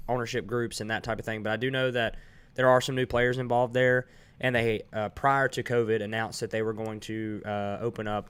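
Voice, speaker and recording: A congested man co-hosting a live podcast, explaining things in an easygoing, measured casual chat. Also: on the low side, smooth. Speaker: podcast co-host.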